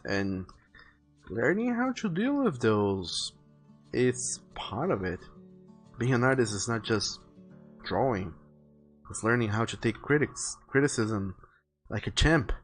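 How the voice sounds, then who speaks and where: A man hosting a casual art livestream, talking to viewers in an earnest, conversational way. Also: lowish voice. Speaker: livestreaming artist and host. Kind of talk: casual livestream chat, reading viewer messages aloud and giving advice. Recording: music behind the voice. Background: faint background music.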